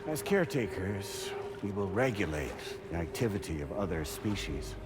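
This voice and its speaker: A man playing a coldly serene artificial overseer in a video game, speaking in a formal, measured, pronouncing manner. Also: sits low, big pitch swings, background noise. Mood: calm, imperious. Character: coldly serene artificial overseer intelligence promising humanity eternal existence. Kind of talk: cinematic video game acting.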